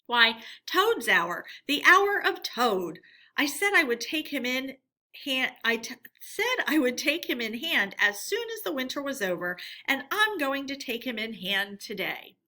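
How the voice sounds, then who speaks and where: A woman's voice: high voice, sing-song, measured pace, bright, forceful tone, pitched above the performer's own voice, heavily acted. A woman voicing a stern, solemn male badger from a children's storybook, commanding and formal. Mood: grave, insistent. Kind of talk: children's storybook character reading.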